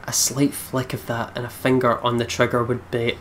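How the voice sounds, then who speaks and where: A man's voice: medium pitch, completely flat delivery, moderate pace, fairly bright tone, slight background noise. A young man hosting a let's play, reading visual novel narration aloud with dramatic delivery. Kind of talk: dramatic reading of game text.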